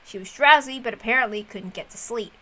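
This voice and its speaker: A woman reading a story aloud as narrator, in an expressive storytelling style. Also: on the high side, fairly quick, rough recording. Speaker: reader of a visual novel.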